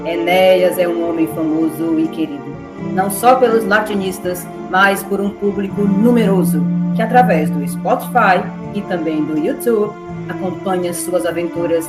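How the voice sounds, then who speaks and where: A woman's voice: on the low side, moderate pace, few pauses. A woman with a Brazilian accent narrating a scripted radio serial in a theatrical announcer style. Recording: roomy echo, music behind. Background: music.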